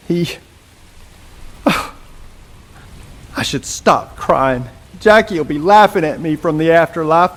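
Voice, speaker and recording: A man playing an earnest, grieving young soldier in a video game, speaking in a sentimental, wistful way. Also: high-pitched. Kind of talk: video game cutscene acting. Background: rain.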